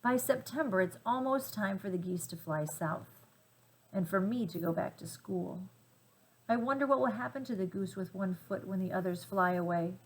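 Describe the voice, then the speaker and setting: A woman reading a children's storybook aloud with gentle, deliberate phrasing and dramatic emphasis. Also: mid-range voice, a little hiss or hum, low-quality recording. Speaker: storybook reader.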